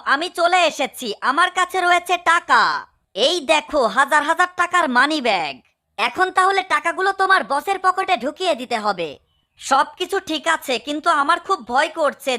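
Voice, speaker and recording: A woman voicing a small, scheming, excitable mouse in a cartoon dub. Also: high-pitched, unhurried, full character voice. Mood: scheming, eager. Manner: eager, urging, exclaiming.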